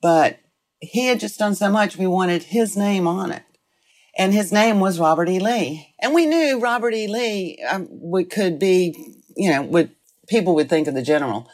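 An older woman being interviewed, reflecting on the past in a deliberate way with drawn-out phrases. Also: mid-range voice, animated, a little mellow. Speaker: interviewee, former school board member.

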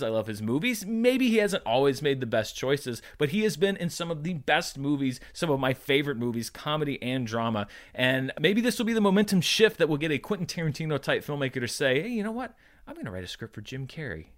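Man with very animated, swooping delivery. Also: a little high, a little fast, few pauses. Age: 30s to 40s. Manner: emphatic, conversational, stresses key words. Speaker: host of a box office recap show. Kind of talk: enthusiastic solo commentary on entertainment news.

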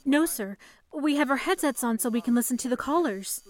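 Actress as high-voiced, anxious young telephone operator. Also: female voice, expressive, fairly quick. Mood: calm, apologetic. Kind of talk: 1940s radio drama acting.

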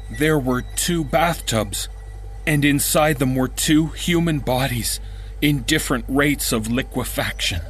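Guy reading a scary story, measured and slow, mid pitch, bit of music behind him. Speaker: story narrator. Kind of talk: first-person horror audiobook narration.

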